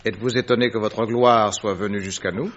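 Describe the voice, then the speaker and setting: An actor playing a composed, shrewd detective with an incisive, courteous manner in a French radio drama. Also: male voice, fairly low pitch, fairly even intonation, fairly quick, fairly bright tone. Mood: probing, polite. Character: composed, shrewd male detective, probing and politely ironic. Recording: dull, muffled vintage radio recording.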